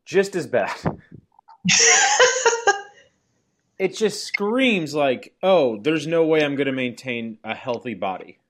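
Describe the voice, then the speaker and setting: A man's voice: medium pitch, very animated, takes it slowly, pauses often. A man hosting a casual podcast, asking a guest questions in a playful, joking way. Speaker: podcast host. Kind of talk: casual chat.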